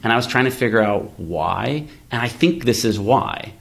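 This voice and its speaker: A man's voice: mid-range voice, expressive, moderate pace, gravelly. A man hosting a casual tech discussion, explaining ideas by thinking aloud and posing rhetorical questions. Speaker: podcast host, venture investor.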